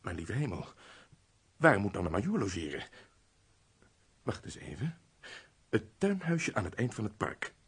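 A male actor playing a fussy, nosy, self-important castle steward in a Dutch radio play, with a husky, blustering, obsequious delivery. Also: fairly low pitch, big pitch swings, normal speed, pauses often, heavily acted. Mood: flustered, bustling. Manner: flustered, obsequious about nobility, blustering at underlings.

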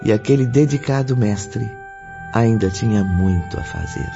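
Male narrator, Brazilian accent, gravelly, unhurried, scripted drama narration, muffled audio. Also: lowish voice. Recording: dull lo-fi audio under loud music.

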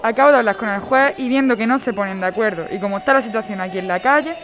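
A woman's voice: mid-range voice, quick pace, lightly acted. An actress playing a polite but firm female court official in an audio drama. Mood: formal, firm. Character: brisk, formal court official overseeing an eviction.

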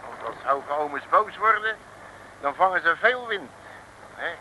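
A man's voice: on the high side, animated, measured pace, bright, forceful tone, full character voice. An actor playing a folksy, jovial male detective in a 1950s radio serial, talking in jocular slang. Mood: jovial bluster. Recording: noisy old radio recording.

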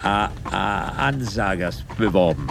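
An actor playing a dim, cornered captive with a heavy, halting stammer in a German radio comedy. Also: male voice, low voice, lively intonation, vocal fry, exaggerated voice. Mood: struggling. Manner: heavy stammer, halting, repeats stock phrases. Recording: noisy digitized radio cassette.

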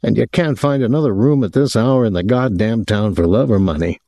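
A man voicing a brash, sardonic young army captain in an audiobook, blunt, wisecracking and quick to anger. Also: fairly low pitch, warm tone, no echo, studio quality, lightly acted. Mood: angry. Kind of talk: audiobook character voice.

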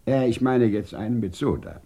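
An actor playing an urbane, teasing scientific director in a radio play, whimsical and courteous, with elaborately worded, theatrical speech. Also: male voice, mid-range voice, animated, normal speed, warm tone, slightly rough. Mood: courtly, bantering. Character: urbane, teasing male scientific director of a desert research station. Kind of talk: German radio play acting.

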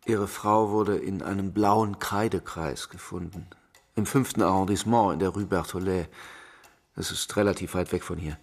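An actor playing a weary, dogged, introspective police commissioner in a German radio crime drama, understated and measured, with a gravelly, husky voice. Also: male voice, sits low, fairly even intonation. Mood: probing, restrained.

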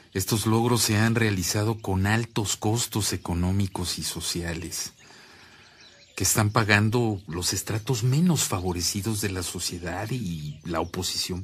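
An actor with a Mexican accent playing an earnest, reflective priest in a radio drama, speaking in a measured, solemn way. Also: male voice, low voice, a little hiss or hum. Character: earnest, reflective Mexican priest, calming his worried sister. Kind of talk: Spanish-language radio drama acting.

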